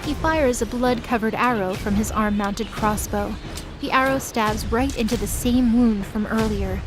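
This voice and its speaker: A woman's voice: on the high side, measured pace, music in the background. A woman reading scripted narration for an audio drama, in the role of storyteller.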